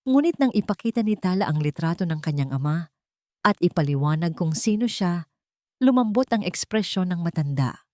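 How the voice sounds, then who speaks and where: A Filipino woman narrates a dramatic love story in a somewhat low voice. The recording is dry, with hardly any room sound. She delivers it as scripted storytelling. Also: animated, normal speed, a little mellow, no echo.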